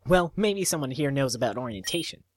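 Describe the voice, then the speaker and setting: A man's voice: medium pitch, animated, brisk. A man hosting a let's play, reading a visual novel aloud with jokey, irreverent asides. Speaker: let's play host and reader.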